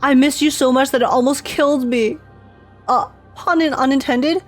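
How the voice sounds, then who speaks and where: A woman streaming a video game, reacting to the story in casual, animated, expressive commentary and talking back to it. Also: high-pitched, normal speed. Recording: game music behind the voice. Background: video game music.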